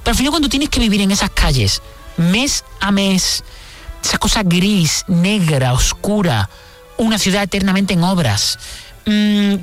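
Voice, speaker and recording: A woman's voice: medium pitch, lively intonation, unhurried, faint music behind. A woman, talking candidly and reflectively as an interviewed guest, repeating words for emphasis. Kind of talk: conversational radio interview.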